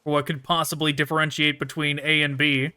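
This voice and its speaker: A man's voice: a little high, level tone, a little fast, pushed and bright, clear, resonant tone, dry recording. A man giving casual gameplay commentary, rambling and thinking aloud as he plays. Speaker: gaming YouTuber.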